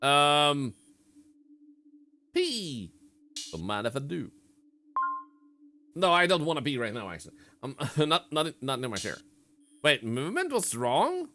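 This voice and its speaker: Animated male streamer over game music. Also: fairly high pitch, unhurried, pauses often. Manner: mutters counts, bursts into loud exasperated rants. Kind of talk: casual gameplay commentary.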